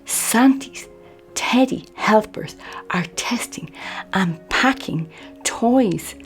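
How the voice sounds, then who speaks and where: A woman reading a children's picture book aloud in an expressive, measured bedtime storytelling manner. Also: a little high, whispered, faint music behind.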